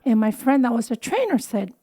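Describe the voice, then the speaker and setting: A woman's voice: a little high, sing-song, a little fast, warm tone, breathy edge. A woman in her fifties, born in the Philippines, a wellness doctor talking casually in an interview. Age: 50s. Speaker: anti-aging and wellness doctor.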